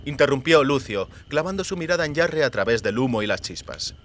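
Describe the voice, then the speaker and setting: A man's voice: medium pitch, expressive, a little fast. A man reading scripted literary narration for a fiction audiobook.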